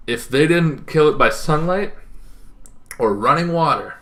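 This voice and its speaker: A young man talking casually to camera as a gaming video host, emphatic, with dramatic pauses and short punchy phrases. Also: a little high, animated, a bit bright. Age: young adult. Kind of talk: casual explanatory talk to camera. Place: small bedroom, indoors.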